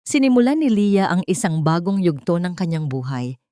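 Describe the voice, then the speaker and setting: A woman tells a dramatic story from a script. Her voice sits a little low for a woman, and the recording is very dry and close, with no room sound around it. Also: big pitch swings, normal speed, mellow, dry recording.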